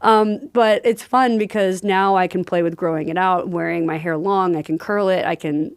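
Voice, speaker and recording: A woman hosting a podcast, chatting casually, filling pauses with "um" and laughing easily. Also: fairly low pitch, fairly even intonation, clean tone, no echo, clean studio sound. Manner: chatty, fills with "um" and "I'm like". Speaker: podcast host. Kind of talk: casual interview chat.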